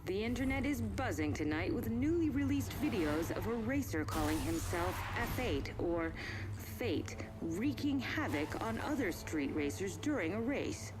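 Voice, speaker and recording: An actress playing a serious TV news anchor in a video game, reading a formal scripted report with composed, crisp phrasing. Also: female voice, medium pitch, animated, unhurried, close to an everyday voice. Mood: urgent, concerned. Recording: loud music behind the voice. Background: loud music.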